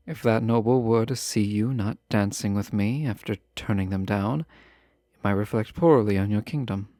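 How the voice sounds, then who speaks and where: Male actor as refined fantasy monarch, unhurried, formal, guarded, fondly teasing, romantic audio drama, dry intimate recording. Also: fairly low pitch, warm tone, no echo. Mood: surprised, reserved. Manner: formal, measured, courtly phrasing with teasing asides. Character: fantasy ruler, former captive, teasing, refined, guarded yet fond. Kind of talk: scripted romantic audio roleplay.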